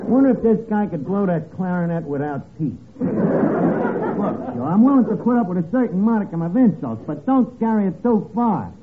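Brash, high-pitched tavern wise guy, tinny recording. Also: big pitch swings, normal speed. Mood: sardonic, wary. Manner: malapropisms, mangled grammar, wisecracking. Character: brash, slangy, uneducated tavern manager, wisecracking schemer. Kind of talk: 1940s radio comedy acting. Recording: tinny, very noisy old radio recording.

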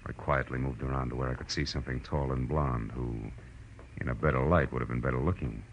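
An American actor playing a cocky, hard-boiled private detective in 1940s radio noir, with a wry, clipped and sardonic delivery. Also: male voice, rumbling low, fast, slight background noise. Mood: brooding, wry narration. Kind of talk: 1940s radio noir acting, first-person narration and dialogue. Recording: dull vintage radio recording with music.

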